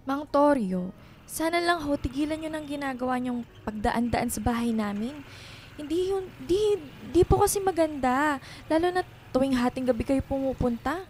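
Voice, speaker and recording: A dutiful young Filipina daughter in a radio drama. Her voice is high, and she speaks earnestly, staying respectful yet firm. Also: lively intonation, moderate pace, a little mellow, a little hiss or hum. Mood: polite, firm. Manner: earnest, polite but firm.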